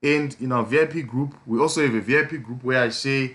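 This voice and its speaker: A man with a hoarse voice from a flu, hosting a casual live trading stream with a South African accent, rambling with lots of fillers and repeated pleas. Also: medium pitch, quick pace, fairly bright tone. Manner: repetitive pleading, frequent fillers.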